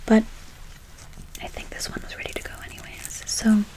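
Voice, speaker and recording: A woman playing a gentle woodland witch in a whispered ASMR role play, soothing and affectionate, repeating and lingering on her words. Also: normal speed, natural voice, poor audio. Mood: gentle, instructing. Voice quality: soft, breathy whisper. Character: gentle, affectionate woodland witch casting a good luck spell.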